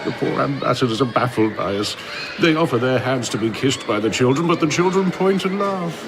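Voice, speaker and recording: An actor playing a proud, mocking citizen of an ideal island in an audio drama, speaking with scornful amusement. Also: male voice, mid-range voice, animated, brisk, background noise, lightly acted. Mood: wry amusement.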